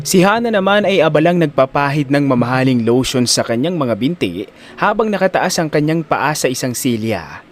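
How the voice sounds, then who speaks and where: A man's voice: fairly high pitch, very animated, normal speed. A man narrating a scripted dramatic story as an audio drama narrator.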